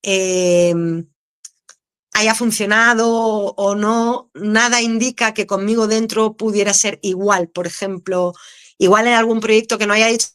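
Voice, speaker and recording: Actress w/ canarian accent, candid and chatty, laughs a lot, mid pitch. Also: female voice, unhurried, smooth. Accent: Canarian Spanish. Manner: candid, expressive, laughs easily. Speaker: actress, guest. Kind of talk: casual interview answers.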